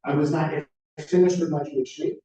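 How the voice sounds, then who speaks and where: A middle-aged American man giving a prepared conference talk, measured and orderly, often speaking in lists. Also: fairly high pitch, fairly even intonation, warm tone, muffled recording. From United States. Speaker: plenary speaker. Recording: echoey room, voice from far off.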